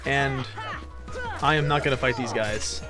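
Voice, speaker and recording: A man's voice: mid-range voice, fairly even intonation, unhurried. A man hosting a let's play with casual, jokey, self-deprecating gameplay commentary. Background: game music.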